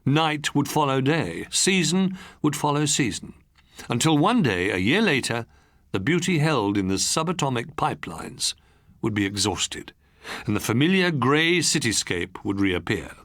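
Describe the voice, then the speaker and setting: Expressive male storyteller with lively intonation. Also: medium pitch, dry recording, clean studio sound. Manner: measured, expressive, literary delivery. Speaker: audiobook narrator. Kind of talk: scripted first-person story narration.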